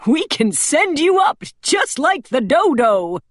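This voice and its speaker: A woman's voice: high-pitched, very animated, slow, no echo, studio quality, pitched above the performer's own voice, heavily acted. A woman voicing gleeful, teasing circus clowns with exaggerated delivery in a children's storybook. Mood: gleeful coaxing. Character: laughing, teasing circus clowns, menacingly jolly.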